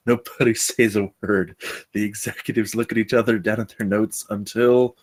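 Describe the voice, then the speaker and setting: A man's voice: lowish voice, steady tone, normal speed. A man reading screenplay narration and stage directions aloud at a table read.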